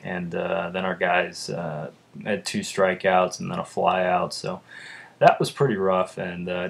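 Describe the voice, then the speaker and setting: A young man with a deep voice, chatting casually in a vlog and rambling with lots of fillers. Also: gravelly. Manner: rambling, lots of uhs and ums. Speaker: vlogger. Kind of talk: casual chat.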